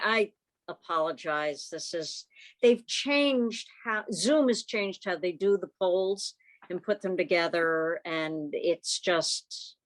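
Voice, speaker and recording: A woman talks on a video call as an informal meeting host. Her delivery is slow and halting, with an unhurried, unscripted feel as she moves between club business and introductions. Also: on the low side, low-quality recording.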